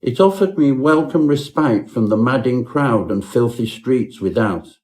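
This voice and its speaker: A man voicing a timid, whining gentleman antiquarian with meek, ornate Victorian phrasing in a parody ghost story. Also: medium pitch, lively intonation, a little slow, natural voice. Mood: florid, earnest. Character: timid, trembling male athlete-antiquarian, whiny and florid.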